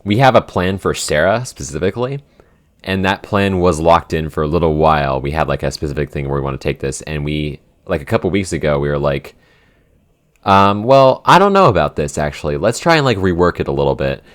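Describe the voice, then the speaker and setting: A man with an extremely deep voice chats as a podcast co-creator. His delivery is informal and unscripted, with loose phrasing as he works out his answers aloud. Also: animated, fairly quick. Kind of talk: casual unscripted behind-the-scenes chat.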